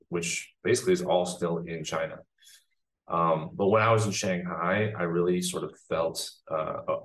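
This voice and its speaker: A man from the United States, a filmmaker guest in a casual interview, talking in a reflective way with many pauses. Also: extremely low pitch, fairly bright tone, a little hiss or hum, rough recording.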